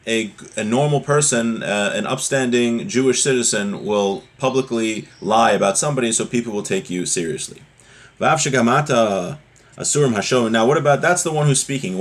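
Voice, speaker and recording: A man's voice: mid-range voice, fairly quick. A man giving a religious lesson, reading from a text and explaining it conversationally with rhetorical questions. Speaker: religious teacher.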